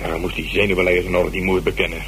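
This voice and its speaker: A man in a Dutch radio drama playing a weary, sarcastic police officer with a gruff, grumbling manner. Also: low-pitched, level tone, very fast, fairly bright tone. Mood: sarcastic, annoyed. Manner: gruff, sardonic. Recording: noisy old radio recording.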